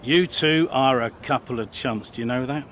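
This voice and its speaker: An actor playing a blunt, avuncular older racing team boss in a video game cutscene. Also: male voice, medium pitch, moderate pace, fairly bright tone, a little hiss or hum, close to an everyday voice. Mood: blunt, exasperated.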